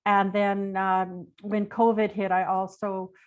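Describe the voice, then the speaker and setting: Older Canadian female interview guest, middle-pitched voice, chatty and anecdotal storyteller. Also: level tone, slow pace, lo-fi sound. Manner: informal, rambling, anecdotal. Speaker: interview guest, retired police dispatcher. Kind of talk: conversational personal storytelling.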